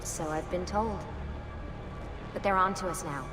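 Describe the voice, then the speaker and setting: A woman's voice: fairly low pitch, unhurried, close to an everyday voice. A woman with an American accent playing a capable, commanding young escape leader in a video game. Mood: guarded, hurried. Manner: terse, commanding. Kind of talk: naturalistic video game dialogue. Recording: game music behind voice.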